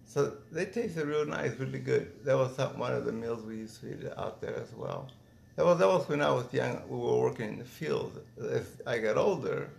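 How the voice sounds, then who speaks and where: A middle-aged man from Mexico reminiscing casually in an interview, thinking aloud in halting phrases with false starts. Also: medium pitch, gravelly, slight background noise. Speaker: interviewee, former migrant worker.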